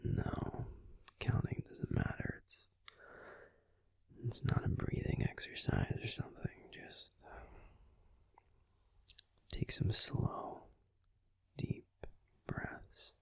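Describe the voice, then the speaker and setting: A man voicing a grumpy young werewolf boyfriend in an ASMR roleplay, talking in a soft, soothing, breathy whisper close to the mic. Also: very slow, long pauses, no echo.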